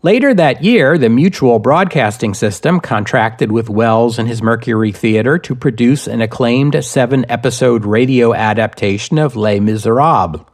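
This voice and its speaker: A man reading scripted history narration as a podcast host, with measured, deliberate phrasing. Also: mid-range voice.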